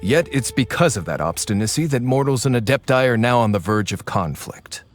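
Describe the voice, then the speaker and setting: A man's voice: mid-range voice, expressive, brisk, natural voice. A man playing a shrewd, knowing agent. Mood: wry. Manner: conspiratorial, dry and knowing. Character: shrewd male agent of a foreign faction, wary. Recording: game audio with music behind.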